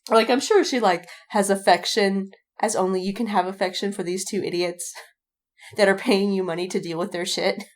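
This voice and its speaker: A woman's voice: mid-range voice, normal speed. A woman co-hosting a podcast in casual fandom chat, rambling with lots of "like" and swearing, acting out people's reactions as she retells them. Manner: animated retelling with quoted reactions. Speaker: podcast co-host.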